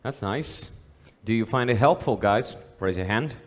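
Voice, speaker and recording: Guy moderating q&a, lowish voice echoing around a big hall, kinda far from the mic. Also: expressive, a little slow. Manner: brief, asks the room to respond. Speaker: moderator reading audience questions. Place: conference hall. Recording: reverberant hall, from the back.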